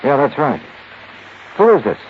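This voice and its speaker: An American actor playing a tough, wry insurance investigator in a 1950s radio detective drama, clipped and hard-boiled. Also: male voice, medium pitch, very animated, normal speed, slight background noise, natural voice. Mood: pointed, guarded. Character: tough, wry male insurance investigator narrating his case. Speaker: radio actor in the lead role. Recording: muffled 1950s radio recording with music.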